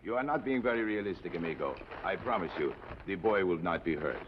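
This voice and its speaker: Male, honor-bound, fatalistic Mexican bandit boss; low voice with expressive swings, formal phrasing, old western TV sound. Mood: courteous menace. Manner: formal, deliberate phrasing with Spanish words. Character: aging Mexican outlaw leader, courtly, honor-bound, fatalistic. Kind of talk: 1950s television western acting. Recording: muffled old TV soundtrack, faint noise.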